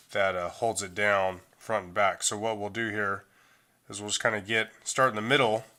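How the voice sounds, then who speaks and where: A middle-aged man talks through a phone repair as a hands-on tutorial. His voice sits a little low, but it sounds bright and forward. His delivery is casual and unpolished, full of fillers and restarts. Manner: relaxed, loose delivery with frequent fillers.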